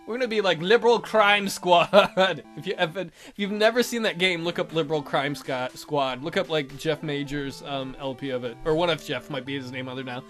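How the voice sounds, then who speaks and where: A woman's voice: deep voice, normal speed, few pauses, faint music behind. A woman hosting a let's play, narrating a visual novel and chatting with joking, rambling asides.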